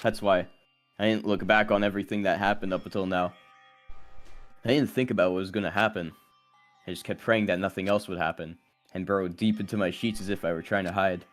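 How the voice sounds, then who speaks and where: A man's voice: deep voice, fairly even intonation, a little fast, lightly acted. An actor playing an earnest, troubled teenage boy in a dubbed mystery video game, with music behind. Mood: brooding. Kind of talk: anime game dub, dramatic inner monologue and confrontation.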